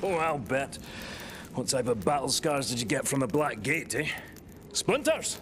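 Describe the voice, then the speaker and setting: A man's voice: mid-range voice, big pitch swings, a little slow, crowd noise. An actor playing a teasing, scarred male hunter and mentor, chuckling and boisterous in a game cutscene. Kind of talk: video game cutscene acting. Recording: game audio with loud score.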